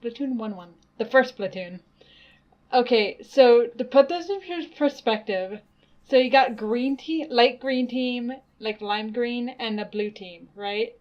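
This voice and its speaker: A young woman with a naturally high voice co-hosting a casual chat show, giggly and excitable. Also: measured pace. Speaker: podcast co-host. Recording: dull lo-fi audio.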